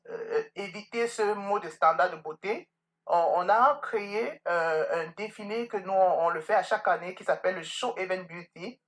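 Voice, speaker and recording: A middle-aged woman speaking as an interview guest, earnest and emphatic, telling anecdotes and addressing listeners directly. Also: lowish voice. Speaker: podcast guest, beauty salon owner. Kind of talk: conversational interview answers with anecdotes.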